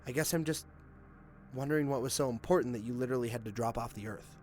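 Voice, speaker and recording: An actor playing an authoritative, earnest police detective in an audio drama, with a probing manner. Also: male voice, medium pitch, quick pace, a little mellow, faint music behind, natural voice. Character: male police detective, Hazel's superior and former partner.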